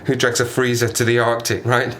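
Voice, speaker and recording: An actor playing a stranded Arctic survivor in an audio play, a sardonic, haunted man who talks haltingly and rambles in agitation. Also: mid-range voice, completely flat delivery, a bit bright, lightly acted. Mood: wry, rambling. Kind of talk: dramatic audio play monologue. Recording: noisy ambience behind the voice.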